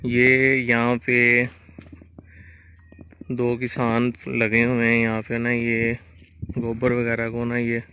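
A man's voice: medium pitch, monotone, slow, pauses often, bright, forceful tone, a little hiss or hum. A man with a Pakistani Punjabi accent narrating a casual vlog outdoors on a farm field and chatting with a farmer. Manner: chatty, friendly questioning. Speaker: vlogger.

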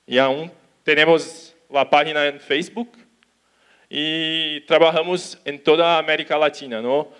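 A young man from Brazil with a Portuguese accent, giving a conference talk in halting Spanish on an auditorium stage, talking slowly with restarts. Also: on the high side, fairly even intonation, fairly bright tone, clean tone. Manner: slow, careful, with restarts and Portuguese words. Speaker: conference speaker. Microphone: handheld mic.

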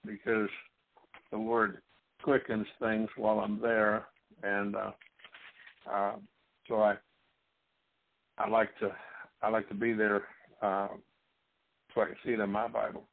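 A man's voice: on the low side, level tone, a little slow, long pauses. Folksy preacher man on a tinny line. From Missouri. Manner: rambling, folksy, frequent restarts and fillers. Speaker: Bible teacher. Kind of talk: sermon-style Bible teaching. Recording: husky voice over a tinny phone-like line.

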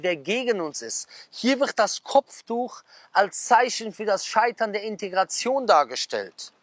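A man in his thirties speaks outdoors at a garden table, his voice sitting high for a man and recorded dry and close on a clip-on mic. He reads headlines aloud and then bursts into quick, gesturing asides, tossing rhetorical questions at his audience. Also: fairly bright tone, no echo. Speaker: preacher. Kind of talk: casual talk, reading out magazine headlines.

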